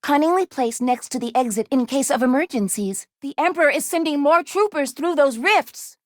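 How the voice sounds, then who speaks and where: A woman's voice: high-pitched, animated, normal speed, no echo, studio quality. A woman voicing an earnest, anxious, polite young female robot companion in a video game, speaking precisely and formally without contractions. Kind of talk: video game character voice lines.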